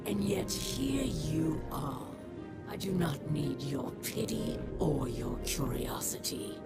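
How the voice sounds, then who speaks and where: A woman's voice: low-pitched, big pitch swings, slow, exaggerated voice. An actress playing a proud, bitter woman in a video game, curt and disdainful. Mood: bitter, dismissive. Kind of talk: dramatic video game voice acting. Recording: loud music under the voice.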